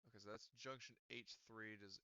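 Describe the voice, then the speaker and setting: A man's voice: fairly low pitch, steady tone, a little fast, pushed and bright, no room sound. A man running a tabletop game as game master, talking casually with lots of fillers and swearing. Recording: dull lo-fi audio.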